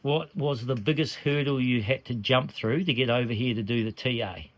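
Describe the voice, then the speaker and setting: A man interviewing hikers, cheerful and brief, with short quick responses between their answers. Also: mid-range voice. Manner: upbeat, brief replies. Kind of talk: casual trail interview.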